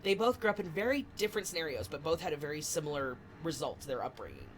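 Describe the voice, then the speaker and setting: A woman in her twenties co-hosting a podcast, chatting casually with animated, swearing asides. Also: deep voice, fast, slight background noise, rough recording. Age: 20s. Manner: animated, swearing, self-deprecating. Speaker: podcast co-host. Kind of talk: casual chat.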